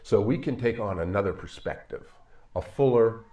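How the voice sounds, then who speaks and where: An older man, a psychologist, talking to the camera from a home office in a measured, halting way with frequent fillers. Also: fairly low pitch, lo-fi sound. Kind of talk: talking to camera in a video commentary.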